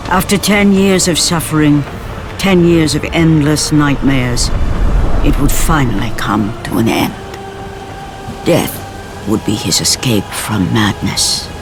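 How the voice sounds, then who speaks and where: A man's voice: fairly high pitch, slow pace, background noise. A man narrating a video game story in a grave, dramatic way. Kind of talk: scripted epic narration. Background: orchestral score.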